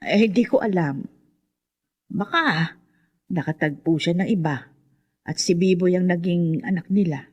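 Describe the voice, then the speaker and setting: A woman's voice: on the low side, measured pace, pauses often, darkish tone, husky, muffled recording. An actress playing an anxious, sorrowful mother of a grown daughter in a radio horror drama, speaking emotively and hesitantly. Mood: resigned, bitter.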